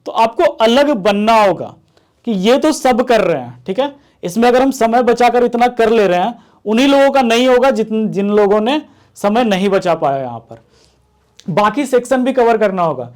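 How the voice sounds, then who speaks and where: High-pitched male coaching teacher. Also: expressive, normal speed. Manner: conversational, repeats phrases for emphasis, checks in often. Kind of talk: informal explanatory lecture to students.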